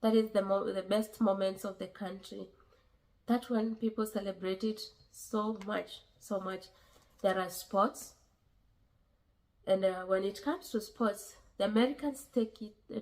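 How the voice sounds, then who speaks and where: A woman with a Kenyan accent talks straight to her viewers in a casual vlog. Her voice sits at an ordinary middle pitch, and she speaks earnestly, often repeating phrases and pausing with fillers as she works through her points. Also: a little mellow, poor audio. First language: a Kenyan language. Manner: earnest, repeats herself, many pauses and fillers. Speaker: vlogger giving advice.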